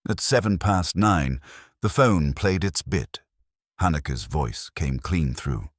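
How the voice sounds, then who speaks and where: Male audiobook narrator for detective fiction: very low pitch, expressive melody, dry close recording. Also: slow, no room sound. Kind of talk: scripted first-person detective story narration.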